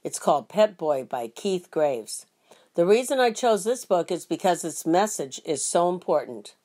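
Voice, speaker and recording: A woman, a teacher, reading aloud to children in an expressive storybook style. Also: lowish voice, normal speed.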